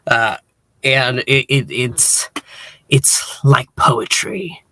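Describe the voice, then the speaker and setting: A man hosting a casual review discussion, speaking in a halting way with repeated false starts. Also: medium pitch, bright, forceful tone, no echo. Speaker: podcast host and reviewer.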